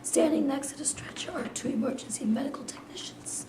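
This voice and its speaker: A woman's voice: sits high, a little fast, warm tone. A woman reading stage directions as scripted narration at a staged play reading. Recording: echoey room from afar, clear noise.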